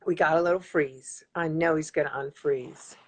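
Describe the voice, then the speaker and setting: A woman's voice: low voice, fairly even intonation, moderate pace. A woman in her forties hosting a casual live-streamed interview from home, bubbly and enthusiastic, with frequent ums, heard through a laptop mic. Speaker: host. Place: room at home, indoors. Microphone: laptop webcam mic on a video call.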